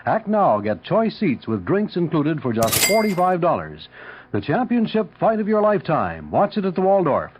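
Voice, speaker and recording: A man's voice: on the high side, wildly swooping pitch, normal speed, background noise. A man reading a vintage radio commercial in an emphatic, salesman-like announcer style. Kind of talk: 1970s radio advertisement read. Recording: dull lo-fi old radio broadcast.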